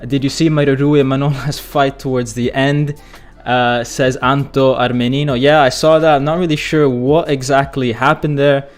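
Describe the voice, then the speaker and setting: A man hosting a live football fan stream, chatty and excitable, hoarse and losing his voice as he reads viewer comments. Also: mid-range voice, moderate pace, few pauses, soft background music. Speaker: football channel host.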